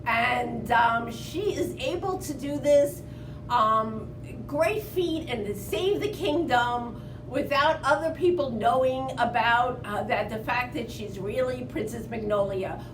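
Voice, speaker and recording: Slow, halting woman in a roomy space. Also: on the high side, noisy background. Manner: halting, pausing mid-word. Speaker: children's librarian. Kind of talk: informal book recommendation. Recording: roomy, distant mic, music behind.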